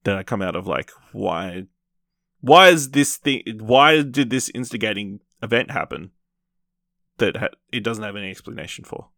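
A man hosting a podcast, casually reviewing films in a rambling, halting way with repeated false starts. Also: fairly low pitch, animated, gravelly, a bit bright, no echo, clean studio sound. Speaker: podcast host. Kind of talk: casual film review chat.

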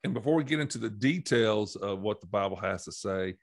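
A man hosting a church podcast, talking through the topic in a casual, rambling way, his voice slightly hoarse from allergies. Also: on the low side, lively intonation, brisk, dry recording, clean studio sound. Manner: rambling, many fillers and restarts. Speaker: podcast host. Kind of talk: casual teaching conversation.

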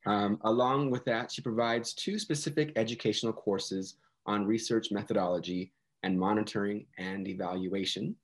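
A man co-hosting a podcast, talking in a measured way and hedging with "you know" and "I think". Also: fairly low pitch. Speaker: podcast co-host.

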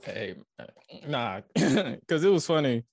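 A man in his twenties, a podcast guest, talking candidly and haltingly with restarts. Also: medium pitch, sing-song, a little mellow, no echo, studio quality. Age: 20s. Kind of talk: casual, candid chat.

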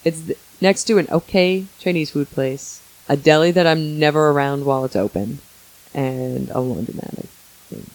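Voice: medium pitch, moderate pace, darkish tone, rough recording. Wry forty-something podcast host. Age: 40s. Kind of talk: casual banter.

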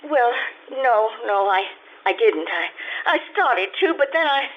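A woman voicing a high, flustered society wife in an old-time radio play, stammering and pleading as she hides her secrets. The sound is thin and phone-like, as if from a tinny line. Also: sing-song, measured pace. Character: anxious wealthy wife hiding gambling debts.

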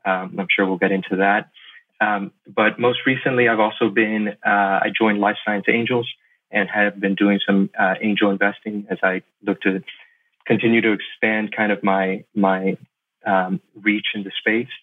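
A middle-aged American man from Miami giving casual interview answers, haltingly, repeating words as he thinks. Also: lowish voice, level tone. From Miami, Florida, USA. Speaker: podcast guest, lawyer and investor. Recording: thin phone-like sound.

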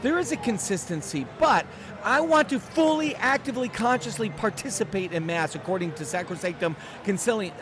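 Guest, male, 40s, high voice, animated and emphatic, recorded outdoors with clear background noise. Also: fairly quick. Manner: animated, emphatic, self-deprecating humor. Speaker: guest speaker. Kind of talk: casual podcast interview.

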